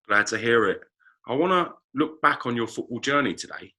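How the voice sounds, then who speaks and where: Bright-voiced male interviewer. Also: mid-range voice, fairly quick. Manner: steady, leading questions. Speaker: interviewer, host. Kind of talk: podcast interview.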